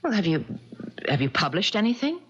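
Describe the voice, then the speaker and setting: An actress playing a haughty, well-off successful woman novelist in a radio mystery drama, with a husky voice and a poised, indignant manner. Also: medium pitch, sing-song, a little fast. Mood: suspicious, curt. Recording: dull lo-fi old radio broadcast.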